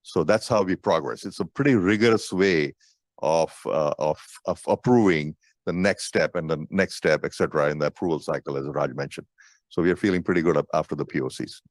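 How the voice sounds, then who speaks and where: A man speaking as a company executive, giving an update on an earnings call in a deliberate, emphatic, repetitive way. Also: a bass voice, no room sound, clean studio sound.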